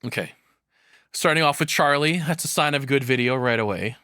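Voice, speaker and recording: A man's voice: medium pitch, a little fast, sharp tone, smooth, no echo, clean studio sound. A man giving casual reaction commentary as a streamer, rambling along and swearing freely.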